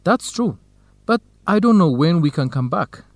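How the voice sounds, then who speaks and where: An actor playing a kind, helpful young student researcher, speaking carefully and formally in a radio drama for English learners. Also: male voice, fairly high pitch, sing-song, normal speed, no room sound. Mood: teasing, thoughtful. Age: young adult.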